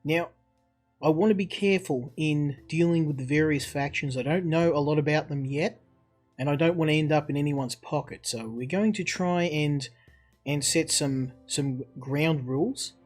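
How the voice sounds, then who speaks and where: A man with a fairly high voice gives commentary on a game as he plays. He moves between his own remarks and reading text aloud. Guitar music plays quietly behind him. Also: normal speed. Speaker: game reviewer.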